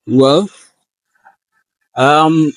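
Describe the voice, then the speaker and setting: A young man from northern Ghana with a Ghanaian accent, answering interview questions in an earnest, measured way. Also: on the high side, steady tone, no room sound, low-quality recording. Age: young adult. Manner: earnest and measured, with frequent fillers. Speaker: guest, valedictorian student.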